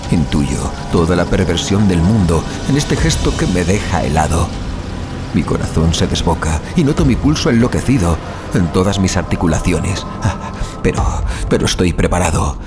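An actor playing a terrified, fatalistic man in a horror audio drama, speaking in anguished sighs and dramatic pauses. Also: low voice, lively intonation, music in the background. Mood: mounting panic, resigned. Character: terrified, fatalistic male car passenger awaiting his death. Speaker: narrator of audio fiction. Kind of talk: dramatised first-person horror monologue.

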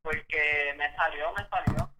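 A young man, telling stories in animated bursts and cursing freely. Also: fairly high pitch, a little slow, a little hiss or hum. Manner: animated storyteller, curses freely. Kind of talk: casual chat.

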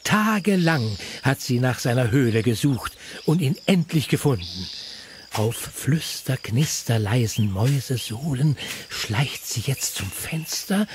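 A man narrating a children's audio story in an expressive, playful way. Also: mid-range voice, unhurried, background noise.

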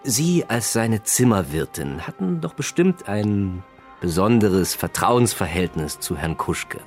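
An actor playing a tactful, charming detective in a radio drama, polite and coaxing. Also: male voice, on the low side, big pitch swings, a little slow, a little mellow, soft background music. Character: tactful, smooth-talking male detective, charming and easygoing.

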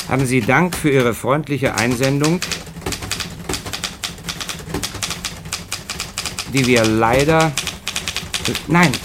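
A man's voice: medium pitch, noisy background, natural voice. Casting: male actor for businesslike, inquisitive editor in radio drama, middle register, fussily thinks aloud. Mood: dictating, dismissive. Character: fussy, inquisitive magazine editor dictating and telephoning. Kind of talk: German radio play acting.

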